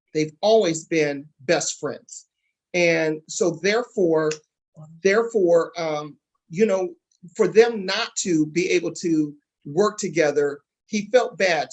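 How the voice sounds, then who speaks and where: A man hosting a casual interview, repeating phrases for emphasis and speaking directly to the audience. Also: a little high. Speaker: interview host.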